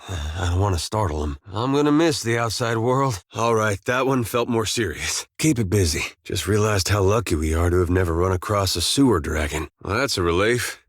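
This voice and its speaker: A man's voice: on the low side, expressive, moderate pace, no room sound. A man playing an easygoing, loyal farm-boy soldier in a video game, with an American accent and a folksy, plainspoken, wry manner. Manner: folksy, laid-back drawl, dry humor. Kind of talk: scripted video game dialogue.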